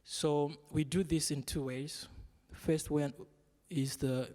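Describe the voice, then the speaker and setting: A man from Zimbabwe giving a panel presentation, speaking in a measured way with frequent fillers. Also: a little high, fairly even intonation, dark tone. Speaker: guest speaker. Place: large exhibition hall. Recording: long hall reverb.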